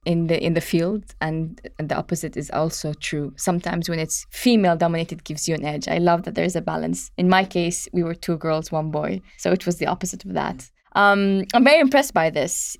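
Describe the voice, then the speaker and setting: A female interview host with a slightly low voice talks in a conversational, expressive way. She is affirming toward her guest and leans on key words. The recording is very dry. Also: no room sound.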